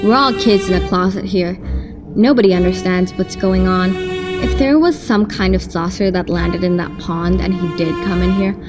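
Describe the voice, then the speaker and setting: A young woman playing a calm, matter-of-fact state trooper in a school radio play. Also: on the low side, moderate pace, music in the background, close to an everyday voice.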